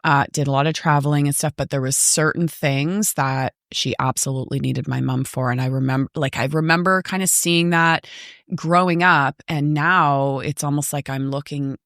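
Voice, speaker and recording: A middle-aged woman in reflective, casual podcast conversation, phrasing her thoughts in a halting, thoughtful way. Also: sits low, steady tone, fairly bright tone, no echo, clean studio sound. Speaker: podcast host.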